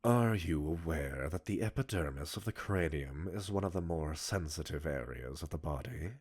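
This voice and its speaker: A man's voice: a bass voice, expressive, normal speed, darkish tone, breathy edge, exaggerated voice. A man playing a smug, arrogant male professor in an ASMR roleplay, erudite and teasing, flirtatious and condescending as he talks like a scientist. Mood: flirtatious, curious. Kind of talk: ASMR roleplay audio drama.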